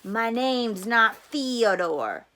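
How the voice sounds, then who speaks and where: A woman's voice: on the high side, expressive, drawn-out words, bright, forceful tone, a little hiss or hum, exaggerated voice. A woman voicing a tough teenage camp boy in a storybook reading, curt, slangy and defiant. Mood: angry.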